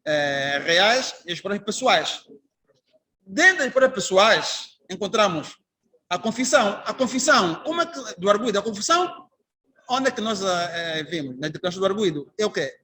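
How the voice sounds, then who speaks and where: A man's voice: high voice, animated, moderate pace, sharp tone. A man with an Angolan Portuguese accent giving an informal lecture to trainee magistrates, telling anecdotes and putting rhetorical questions to the class. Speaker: judge and trainer.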